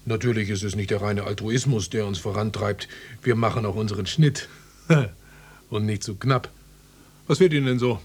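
A man's voice: on the low side, moderate pace, slightly rough. An actor playing a smarmy, officious door-to-door salesman of medical bandages in a German radio drama, with over-polite, jargon-filled patter. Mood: smarmy, eager.

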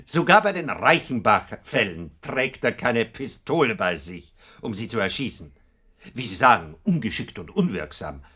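A needling psychiatrist in a radio play. His voice is husky and sharp, formally polite, with an ironic questioning lilt. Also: fairly low pitch, sing-song, normal speed, full character voice. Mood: skeptical, needling. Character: shrewd, needling male psychiatrist, politely skeptical. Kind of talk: German radio drama acting.

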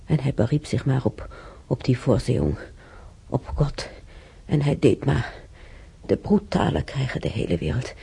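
A brooding older woman in a radio play, very dark husky voice, halting, sad. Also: extremely low pitch, animated, heavily acted. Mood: anxious, bitter. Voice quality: husky, gravelly. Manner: halting, musing to herself. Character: older woman, sorrowful, brooding, recalling war years. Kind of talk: radio play monologue, reminiscing.

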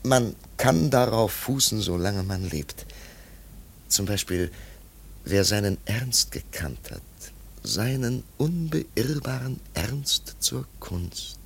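An actor playing an earnest, reflective former art pupil in a radio drama, speaking in a halting, fervent, musing way. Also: male voice, on the low side, big pitch swings, creaky, slight background noise, heavily acted. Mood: fervent admiration.

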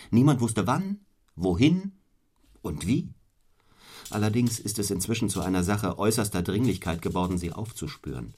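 A cold, driven clergyman from a radio drama, with a low, husky male voice. He speaks formally and deliberately, stern and rhetorical, as if every sentence were weighed. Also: a little mellow. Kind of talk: German radio play acting.

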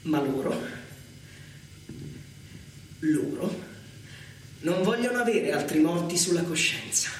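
A man's voice: on the high side, sing-song, slow, pauses often. A man narrating a theatrical monologue in a dramatic, emphatic manner. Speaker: stage actor and narrator. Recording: very echoey theatre, distant mic, faint noise.

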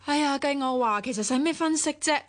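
A woman's voice: high voice, a little fast, no echo, lightly acted. Feisty Hong Kong Cantonese amateur sleuth played by a woman for radio drama, blunt, suspicious and exclamatory. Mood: indignant.

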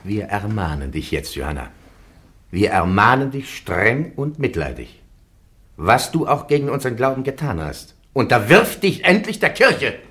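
An actor playing a solemn, authoritative bishop presiding over a trial in a radio play, formal, measured and admonishing. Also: male voice, on the low side, big pitch swings, a bit bright. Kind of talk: German radio play acting.

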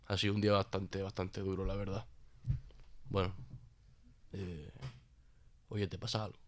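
A man's voice: deep voice, level tone, measured pace. A man with a Spain accent acting a harried, fed-up cheating boyfriend in a naturalistic audio drama, blunt, sweary and repetitive. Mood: worn out. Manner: agitated, repetitive, colloquial and blunt.

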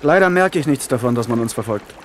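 An actor playing an earnest young gentleman who narrates a radio play in an articulate, literary way. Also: medium pitch, expressive, quick pace, slight background noise, natural voice. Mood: puzzled.

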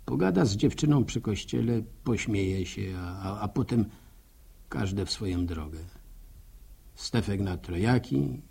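An actor playing a sympathetic, grumbling village countryman who muses aloud, with a gravelly, husky voice, in a radio drama. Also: fairly low pitch, lively intonation, mellow, full character voice. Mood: pensive, worried. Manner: rustic, musing, drawn-out, hesitant repetitions. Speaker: narrator character in a radio serial. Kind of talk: folksy radio drama monologue.

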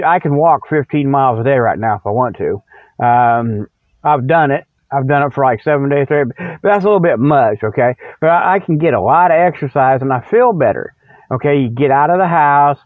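A man's voice: fairly high pitch, no echo. A middle-aged man hosting a rambling comic radio monologue, folksy and repetitive, often checking in with an okay. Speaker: radio show host. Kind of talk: rambling comedic monologue with spoof ads. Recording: muffled, phone-like radio sound.

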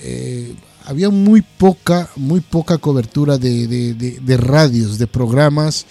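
A man chatting casually as a programme host, haltingly and often repeating words. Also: mid-range voice, gravelly, dark tone. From Ecuador. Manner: hesitant, repeats words as they go. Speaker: host of a heavy metal radio programme. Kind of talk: casual interview chat.

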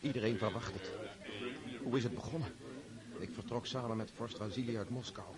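An actor in a Dutch radio drama playing a brooding, earnest young Russian count who reproaches himself. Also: male voice, lowish voice, a little fast, over a noisy crowd, lightly acted. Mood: inner dread. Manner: earnest, self-accusing. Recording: hissy old radio play recording.

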